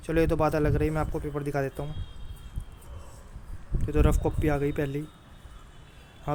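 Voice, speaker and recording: A man's voice: fairly high pitch, darkish tone. Male exam tutor, informal and familiar, very level intonation, slightly noisy recording. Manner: repeatedly checks in with listeners in a familiar, brotherly way. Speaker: exam prep teacher. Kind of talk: informal exam coaching talk. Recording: clear background noise.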